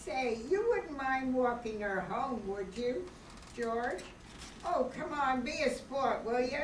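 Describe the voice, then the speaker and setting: A woman's voice: high voice, animated, slow pace. An older woman playing a friendly, coaxing older sibling in an amateur radio play. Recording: echoey, distant room, faint noise.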